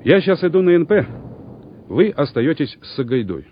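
Firm friendly officer, animated intonation, mid-pitched man, radio play, muffled recording, background noise. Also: moderate pace. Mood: earnest, firm. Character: resolute young senior lieutenant, friendly, commanding company leader. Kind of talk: Soviet radio drama acting. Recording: muffled old radio drama recording, noisy.

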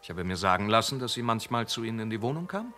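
An actor playing a methodical police inspector who calmly and patiently questions witnesses in a radio drama. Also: male voice, on the low side, fast, faint music behind.

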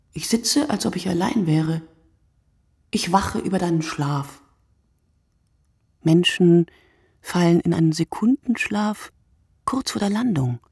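A performer reading a literary radio play monologue in short, deliberate, reflective phrases. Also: medium pitch, a little mellow, breathy edge, dry recording, clean studio sound.

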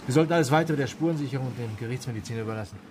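An actor playing a police inspector in a radio drama, blunt and probing, with a matter-of-fact, businesslike manner. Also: male voice, mid-range voice, rapid-fire, noisy background, close to an everyday voice. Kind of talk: naturalistic radio drama acting.

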